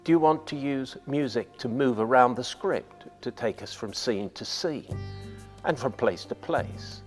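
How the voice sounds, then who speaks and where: A man delivers scripted instruction at a slow, deliberate pace. His pitch moves expressively to stress key phrases, and the sound carries a long reverb, as in a hall. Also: animated, faint music behind. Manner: measured, deliberate, emphatic phrasing. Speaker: radio playwright giving writing advice.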